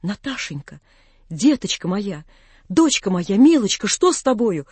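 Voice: mid-range voice, moderate pace, mellow, dry recording, close to an everyday voice. A narrator plays an old father in a literary audiobook, tender and anguished. He implores with fervent feeling, in a dry, close sound. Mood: alarmed tenderness.

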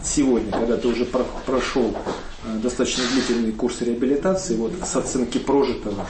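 A man's voice: mid-range voice, fairly even intonation, a little fast. A male interviewer asking probing, insistent questions. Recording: roomy, distant, with crowd noise.

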